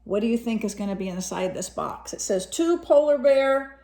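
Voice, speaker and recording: A woman reading a picture book aloud as a storyteller, animated and pausing to explain things and put questions to young listeners. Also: mid-range voice, creaky. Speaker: storyteller, teacher reading to children.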